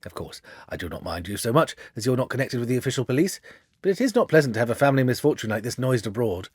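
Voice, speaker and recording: A man's voice: medium pitch, lively intonation, fast talker, breathy edge, dry recording, studio quality. Man acting slippery, fussy thirtyish villain. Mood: polite, evasive. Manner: fussy, self-excusing, polite. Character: evasive, bland, insinuating thirtyish male villain.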